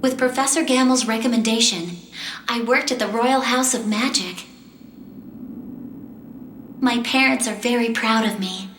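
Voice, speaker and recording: High-voiced earnest young magician, echoey. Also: female voice, a little slow, long pauses, a bit bright, slight background noise. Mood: wistful, reflective. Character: determined young woman magician, earnest and composed. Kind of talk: English-dubbed fantasy game cutscene acting and narration. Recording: roomy echo, game music behind.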